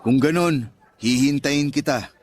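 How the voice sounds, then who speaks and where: An actor playing a patient, earnest young Filipino suitor who gently consoles in a radio drama. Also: male voice, medium pitch, a little slow, close to an everyday voice. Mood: hopeful. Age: young adult.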